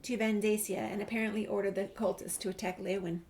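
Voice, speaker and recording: A woman with a creaky voice, reading a game's documents and dialogue aloud as a gameplay streamer. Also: medium pitch, little pitch movement, fast talker, darkish tone. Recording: faint background noise, game ambience.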